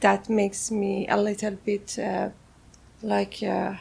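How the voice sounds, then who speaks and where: A woman from Iran with a Persian accent, a native Persian speaker, answering casual interview questions in halting English as an artist. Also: medium pitch, level tone, vocal fry, a little mellow, husky. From Tehran, Iran. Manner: halting, searching for words in English. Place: on a houseboat, seated at a table.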